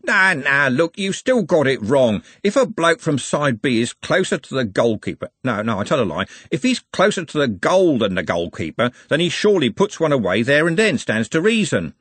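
A man puts on an insistent football bloke for a comic storybook. He is working-class, colloquial and argumentative, a bit muddled, and pitched above the performer's own voice. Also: big pitch swings, normal speed, few pauses, fairly bright tone, husky, dry recording.

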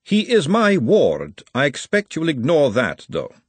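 A man puts on a haughty noblewoman for a fantasy storybook. The voice sits well above his own and swings widely in pitch, with formal, pointed, disdainful phrasing. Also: huge pitch swings, a little slow, breathy edge, no room sound, full character voice. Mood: disdainful, challenging. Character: haughty, imperious aristocratic lady, sharp and disdainful.

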